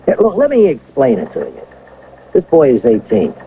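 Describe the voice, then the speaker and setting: Radio drama cop: hesitant explainer, practical, expressive, slightly noisy muffled recording. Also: male voice, mid-range voice. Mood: firm, placating. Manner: halting, explanatory. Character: hesitant, pragmatic police detective sergeant. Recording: muffled old radio broadcast, faint noise.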